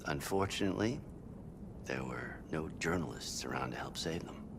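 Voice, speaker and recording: An extremely deep, creaky voice plays a hard government agent in a video game. He bargains in a measured, coldly persuasive way, with swings from low mutter to raised call. A rumbling noise sits behind him. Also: lively intonation, full character voice. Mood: cold, threatening. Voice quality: gravelly, very deep. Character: hard, menacing male government agent negotiating surrender. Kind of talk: cinematic video game acting.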